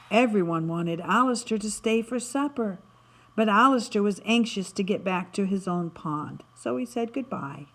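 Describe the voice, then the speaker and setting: Woman reading a kids picture book out loud, really animated, pitch goes up and down a lot. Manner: animated, dramatic delivery with emphatic word stretching. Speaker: storybook reader. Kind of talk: expressive children's story narration.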